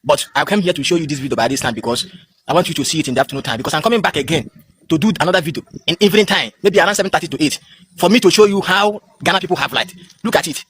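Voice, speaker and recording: A man's voice: a little high, rapid-fire, a bit bright, slight background noise. A Nigerian man whose first language is Igbo, vlogging outdoors on a smartphone in a rambling, defiant way. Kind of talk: phone vlog.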